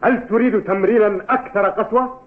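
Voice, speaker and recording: Man as a stern, high-pitched cartoon coach. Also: brisk, close to an everyday voice. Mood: angry. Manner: commanding, barking orders. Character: stern, demanding sports coach, gruffly principled man. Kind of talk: dubbed cartoon acting in formal Arabic. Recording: tinny, noisy old TV dub.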